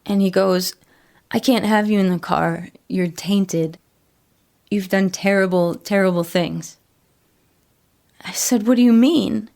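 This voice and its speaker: A woman playing a troubled former addict and ex-convict, telling her story plainly and haltingly in a horror audio drama. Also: mid-range voice, gravelly, darkish tone. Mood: hurt, pleading. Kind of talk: first-person horror audio drama monologue.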